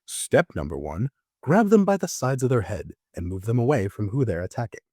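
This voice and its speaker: A man voicing a wry, instructive train conductor in an audio drama, speaking in a measured, mock-genteel manner. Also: medium pitch, big pitch swings, a little mellow, breathy edge, no room sound, studio quality, voice pushed down. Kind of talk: audio-drama character dialogue.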